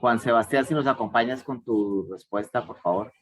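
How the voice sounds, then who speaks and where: A man's voice: mid-range voice, normal speed, no echo, low-quality recording. A man moderating an online student forum, politely handing over turns.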